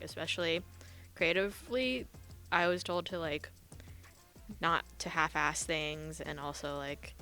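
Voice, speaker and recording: A woman's voice: sits low, pushed and bright. A woman answering casually in an interview, often saying "like" as she goes. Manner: frequent "like" as a filler.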